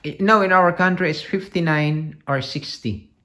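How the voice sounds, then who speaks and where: Chatty laughing host from the Philippines. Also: male voice, a little high, moderate pace, a bit bright. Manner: encouraging, laughs a lot, many mm-hmms. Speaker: vlog host interviewer. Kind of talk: casual interview.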